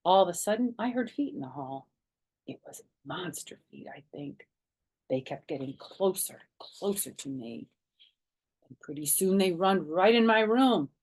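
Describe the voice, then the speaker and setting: A woman reading a children's chapter book aloud as a storytime narrator, animated and dramatic in her telling. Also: lowish voice, unhurried, breathy edge.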